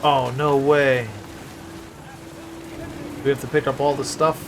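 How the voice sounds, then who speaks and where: A man doing casual livestream game commentary, musing aloud haltingly and breaking into exclamations. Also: mid-range voice, little pitch movement, background noise. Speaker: game streamer.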